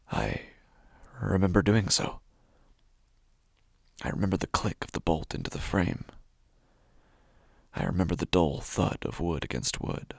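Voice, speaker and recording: Horror audio drama: male actor for a sleepless, obsessive man, halting and uneasy, gravelly, highly animated intonation. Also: no echo, clean studio sound, exaggerated voice. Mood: uneasy doubt. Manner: halting, hushed, often breaking into whispers. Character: anxious, obsessive man narrating his sleepless nightmares. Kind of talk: first-person horror audio fiction monologue.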